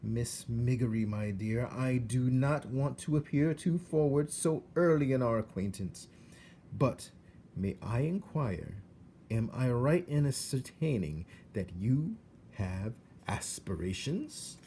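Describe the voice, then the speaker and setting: A scheming storybook rat played by a man flatters in ornate, over-polite phrases. He coaxes slowly, with a mellow tone and very lively pitch. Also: a little hiss or hum. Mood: coaxing. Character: smooth, scheming male rat, courtly flatterer. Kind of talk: storybook character voice.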